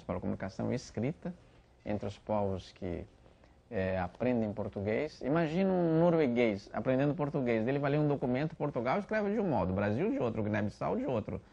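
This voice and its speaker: A man's voice: medium pitch, creaky, a little mellow. A male language expert giving an explanatory interview statement, building his point with examples. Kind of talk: interview testimony. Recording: dull lo-fi recording.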